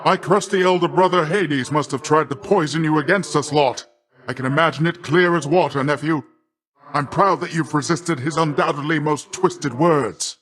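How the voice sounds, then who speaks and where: An actor playing a boastful, affectionate older uncle who is a sea god, pompous and theatrical, with grand old-fashioned wording, for a video game. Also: male voice, on the high side, moderate pace, a bit bright, natural voice. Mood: confiding, boastful. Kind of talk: video game character voice acting.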